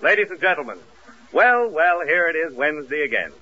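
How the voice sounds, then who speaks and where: A male American announcer speaks in 1940s radio style with a high-pitched voice. The old recording is dull and muffled. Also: sing-song, fairly quick, loud music behind the voice. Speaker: radio announcer and comic sidekick.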